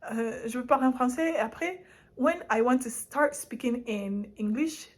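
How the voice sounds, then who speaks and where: A young woman from Spain chats straight to camera in a loose, playful vlog style. Her voice sits a little high, and she laughs easily as she wanders from one thought to the next. Now and then she drops into a hushed whisper for an aside. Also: unhurried. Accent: Madrid Spanish. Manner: chatty and playful, often laughing, hopping between languages. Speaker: vlogger. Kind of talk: casual chat to camera.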